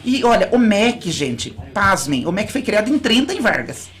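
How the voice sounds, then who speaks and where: An earnest woman talks as a guest in a radio studio interview. She is a school director, and her voice sits at an ordinary middle pitch. She builds long explanations and often stops to restart her sentences. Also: very animated, normal speed.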